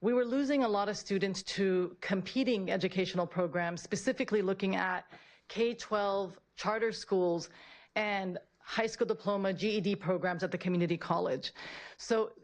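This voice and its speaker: A woman's voice: mid-range voice, normal speed. A woman giving a prepared public presentation as a school principal, earnest and repeating phrases for emphasis. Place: public board meeting room, at a podium.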